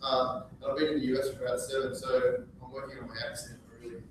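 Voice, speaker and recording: A man's voice: mid-range voice, little pitch movement, fast talker. An Australian man presenting at a business breakfast seminar, upbeat and quizzing his audience. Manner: upbeat, engages audience with questions. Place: meeting room with audience. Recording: echoey room, far from mic, background noise.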